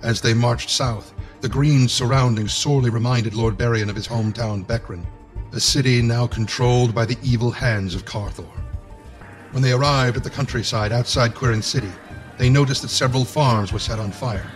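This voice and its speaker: A man's voice: medium pitch, fairly even intonation, normal speed, faint music behind, natural voice, rough recording. A man narrating a medieval fantasy game as a solemn storyteller, in scripted style.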